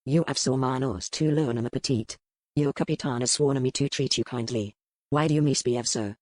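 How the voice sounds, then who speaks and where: A man playing a smooth, probing French merchant with a French accent in a historical romance audiobook. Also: medium pitch, brisk, dry recording, lightly acted. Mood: coolly commanding. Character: suave, probing French merchant in colonial port. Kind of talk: dramatised audiobook dialogue.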